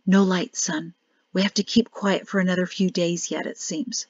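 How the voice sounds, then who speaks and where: A woman with a rough, crackling voice voicing a calm, gentle older male rector, soft and soothing. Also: lowish voice, moderate pace, no room sound, dull audio.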